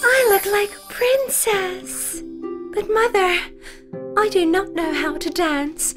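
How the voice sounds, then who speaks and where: A woman voicing a gentle, timid young daughter, wistful and eager, in an animated fairy tale. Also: extremely high pitch, takes it slowly, over music, voice pushed up. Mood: worried.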